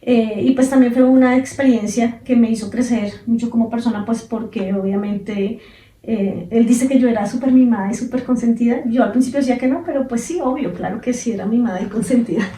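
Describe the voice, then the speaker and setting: Mellow-voiced woman in her forties. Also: on the high side, brisk, echoey room, distant mic, poor audio. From Colombia. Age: 40s. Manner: relaxed, many fillers like "pues". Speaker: podcast co-host. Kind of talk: casual conversation.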